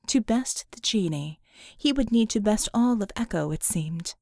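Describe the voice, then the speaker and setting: A woman reading scripted fiction as an audiobook narrator, measured and dramatic in her storytelling. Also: mid-range voice, expressive, a little mellow, dry recording, studio quality.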